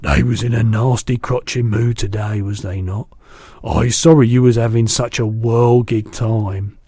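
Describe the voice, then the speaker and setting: A man reading aloud and voicing a big, kindly male giant who mangles his words, in a louder and higher voice than his own. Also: steady tone, a little slow, slightly rough, dry recording, clean studio sound. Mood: earnest. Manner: jumbled made-up words, booming and eager.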